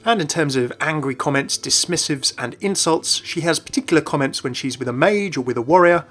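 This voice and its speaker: A man's voice: fairly high pitch, animated, a little fast, a bit bright. A man hosting a video game channel, reading a scripted explanatory narration. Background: background music.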